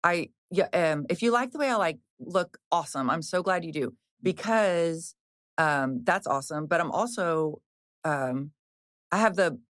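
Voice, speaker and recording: A woman's voice: fairly low pitch, lively intonation, a little slow, no room sound, studio quality. A woman in her fifties, a personal trainer, talking casually and frankly with many restarts and the odd swear. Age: 50s. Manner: chatty, rambling, frequent restarts and fillers. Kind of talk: casual podcast conversation.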